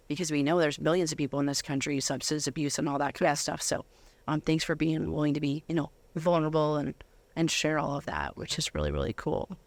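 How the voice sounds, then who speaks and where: A woman's voice: low-pitched, no room sound. A middle-aged woman hosting a conversational faith interview in an effusive, affirming manner. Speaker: interview host.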